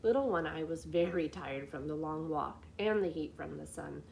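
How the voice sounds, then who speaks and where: A woman reading a children's fairy tale as a storyteller, with expressive scripted narration. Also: low-pitched, moderate pace, gravelly, a little hiss or hum. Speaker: library storyteller.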